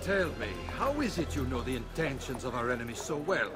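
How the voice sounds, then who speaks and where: Man acting a sarcastic monarch, grand, animated delivery, scornful, theatrical, game dialogue over music. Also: medium pitch, fairly quick, lightly acted. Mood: bitter sarcasm. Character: haughty, sarcastic warrior king rebuking his vassal. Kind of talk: scripted video game cutscene acting.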